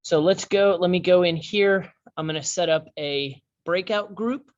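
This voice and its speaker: A man teaching a class over a screen share, talking in a halting way with many fillers and restarts. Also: high-pitched. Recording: dull lo-fi sound.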